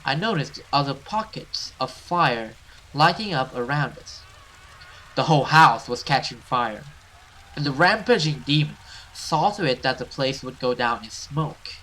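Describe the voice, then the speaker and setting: Bright-voiced man narrating a horror game. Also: mid-range voice, animated, a little slow, slight background noise, rough recording. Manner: theatrical, with casual swearing asides. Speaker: gamer reading a visual novel aloud. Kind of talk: dramatic let's play narration of a horror story.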